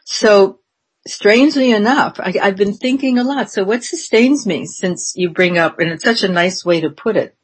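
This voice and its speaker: A woman in her seventies, interviewed and reflecting on her life in a meandering, unhurried way, with frequent ums and restarts. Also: mid-range voice, breathy edge. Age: 70s.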